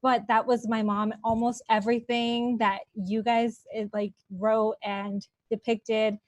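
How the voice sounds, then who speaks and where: A bubbly woman in her late twenties hosts a relaxed interview, laughing easily and leaning on casual fillers. Her voice sits a touch high. The sound is close and dry. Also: measured pace, dry recording. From Colombian and Mexican heritage. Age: 20s. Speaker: host.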